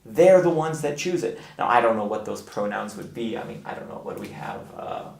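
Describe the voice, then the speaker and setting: A man giving a casual explanatory monologue, halting as he thinks aloud and stressing key words. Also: lowish voice, lively intonation, gravelly, darkish tone, echoey room. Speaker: video blogger.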